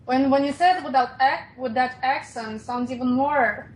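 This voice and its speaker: A woman's voice: sits high, normal speed, poor audio. A young woman co-hosting a casual livestream, teasing and cheeky. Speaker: co-host.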